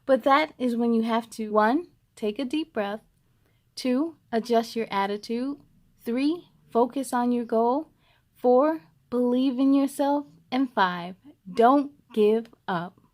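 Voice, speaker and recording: A woman voicing a kind, patient storybook uncle, gently and emphatically encouraging his young nephew. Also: on the high side, slow. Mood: firm, encouraging.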